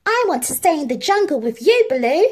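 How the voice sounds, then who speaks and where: Plucky storybook boy played by a woman, young, defiant and eager, pitched high with bouncy, emphatic swings. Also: big pitch swings, brisk.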